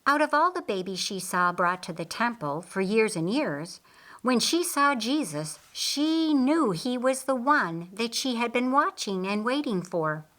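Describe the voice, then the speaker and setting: A woman voicing a kindly, reflective master of a house in an audiobook story, earnest and deliberate. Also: a little high, sing-song, fairly bright tone, voice pushed up. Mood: earnest, recounting.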